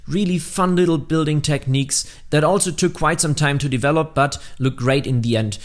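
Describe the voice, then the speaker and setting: A man's voice: on the high side, a little fast. A man presenting his hobby build as a video host, explaining it step by step and talking directly to viewers. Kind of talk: narrated hobby build showcase.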